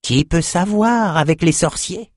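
A man's voice: fairly high pitch, animated, moderate pace, no echo, clean studio sound, voice pushed up, lightly acted. A man voicing a proud, sardonic female warrior scout in a fantasy audiobook. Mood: wry.

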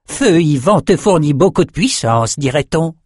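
Guy putting on a smug evil wizard for a storybook, taunting, theatrical, pitched up from his normal voice. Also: animated, normal speed, a little mellow, slightly rough, dry recording, clean studio sound. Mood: jubilant, mocking. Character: gleeful, menacing male sorcerer, mocking and smug.

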